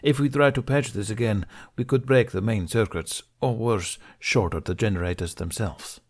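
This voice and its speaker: A man's voice: fairly low pitch, normal speed. A male reader plays a weary military commander in a science fiction audiobook. He is dutiful and plain-spoken. He reports grim damage with tired practicality. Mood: grim, matter-of-fact. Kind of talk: acted audiobook dialogue in a science fiction story.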